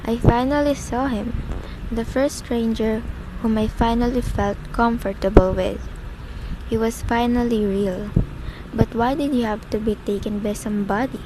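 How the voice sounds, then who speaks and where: Clear background noise runs under a melancholy young female patient played by a woman. She narrates her own story in a fairly high voice, as in a scripted radio drama. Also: steady tone, normal speed, natural voice. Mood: wistful. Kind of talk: first-person scripted radio play narration.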